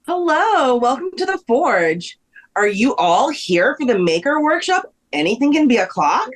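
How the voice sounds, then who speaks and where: A woman's voice: high-pitched, very animated, measured pace, full character voice. A woman playing a helpful museum workshop instructor, welcoming and explanatory, in a table read.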